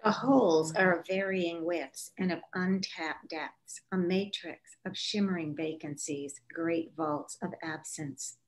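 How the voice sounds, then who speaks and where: A woman's voice: on the low side, measured pace, hardly any pauses, rough recording. A woman giving a scripted literary reading of lyrical prose poetry. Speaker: author reading aloud.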